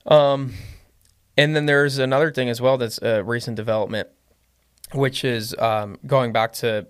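Man, slight lebanese accent, mid-pitched, casual talk, lots of ums and restarts. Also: steady tone, a little slow, clear, resonant tone. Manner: rambling, many fillers and restarts. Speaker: podcast guest, business owner. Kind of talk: casual conversation.

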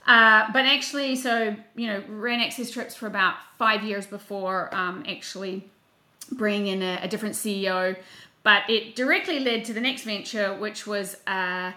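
A woman's voice: mid-range voice, steady tone, normal speed, sharp tone, roomy sound. A woman in her forties with a New Zealand accent, chatting as an interview guest in a self-deprecating way with many fillers. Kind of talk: casual interview talk.

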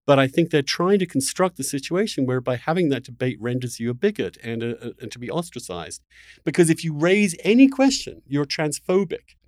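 A man hosting an impassioned conversational debate, haltingly repeating words as he builds his point. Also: fairly high pitch, big pitch swings, no room sound, clean studio sound. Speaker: interview host.

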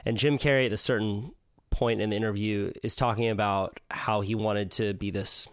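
A man hosting a podcast interview in a casual, thoughtful way, his voice hoarse and partly lost. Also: lowish voice, fairly even intonation, normal speed, dry recording, clean studio sound. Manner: thoughtful, with frequent fillers and restarts. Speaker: podcast host.

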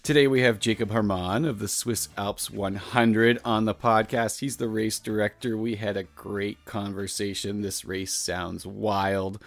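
A man's voice: on the low side, fairly even intonation, unhurried, gravelly, few pauses, dry recording. A man hosting a podcast, reading his intro and interviewing a guest in an upbeat, appreciative way. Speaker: podcast host.

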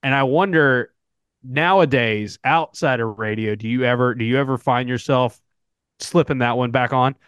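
A man with a Southern American accent talks casually as a podcast co-host. He rambles and restarts his sentences. His pitch sits in the middle, and the sound is dry and close. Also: normal speed, fairly bright tone, smooth, dry recording. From Southern United States. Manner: rambling, frequent fillers and restarts. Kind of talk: casual sports podcast chat.

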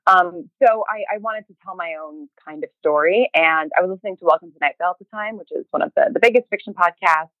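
A woman talking casually as an interview guest, halting and often rephrasing as she tells her story. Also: lowish voice, no room sound. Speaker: podcast interview guest, writer and creator. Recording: thin, phone-like narrow band.

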